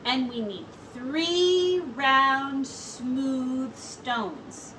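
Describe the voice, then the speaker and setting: A woman's voice: high-pitched, drawn-out words, bright, forceful tone, full character voice. A woman reading the part of a wise, kindly old monk in a children's storybook. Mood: confident, inviting. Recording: distant mic with faint background noise.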